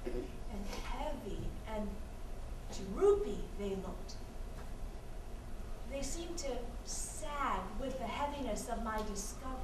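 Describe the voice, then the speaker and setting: An actress with an American accent playing a wry, wistful Korean American adoptee in a live stage monologue, speaking dramatically and deliberately with pauses. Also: female voice, high-pitched. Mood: sad. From United States. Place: live stage performance in a venue. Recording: roomy, noisy, distant stage recording.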